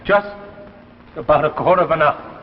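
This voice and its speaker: An actor playing an anxious, earnest husband in a 1950s radio drama, halting and desperate. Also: male voice, on the high side, slight background noise, close to an everyday voice. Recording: dull old radio recording, faint music.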